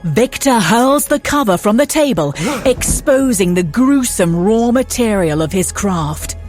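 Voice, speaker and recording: A woman's voice: mid-range voice, expressive, a little slow, a little mellow, faint music behind, natural voice. A woman narrating a gothic audio drama as a grave storyteller, in dramatic scripted style.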